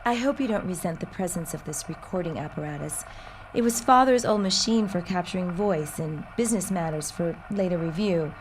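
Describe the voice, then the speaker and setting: A woman's voice: on the low side, brisk, a little hiss or hum, close to an everyday voice, rough recording. An actress playing a genteel, composed society lady, formal and precise, in a period audio drama. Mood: poised, businesslike.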